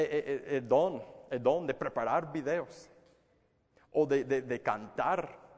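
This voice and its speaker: A man's voice: medium pitch, in a large hall. A man preaching a sermon in Spanish, a language he learned as an adult, earnest and emphatic, often repeating words and restarting phrases. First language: English. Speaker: pastor.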